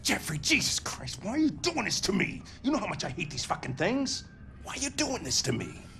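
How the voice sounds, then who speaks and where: An actor playing a bitter, gruff middle-aged male police lieutenant who swears and argues with his boss. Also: sits high, huge pitch swings, brisk, sharp tone, heavily acted. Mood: pleading. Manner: profane, combative, grumbling.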